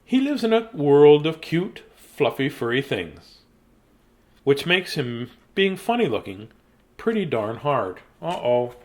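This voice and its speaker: A man in his fifties reads children's bedtime picture books in an animated, playful, childlike way, with chatty asides. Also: on the high side, slow. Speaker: children's storyteller. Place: small decorated room indoors.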